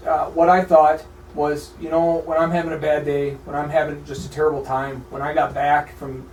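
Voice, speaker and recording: An American man explaining his project as an interview guest, earnest and somewhat halting. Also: fairly high pitch. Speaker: military veteran, charity founder, interview guest. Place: indoor broadcasting room. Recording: echoey room, distant mic, faint noise.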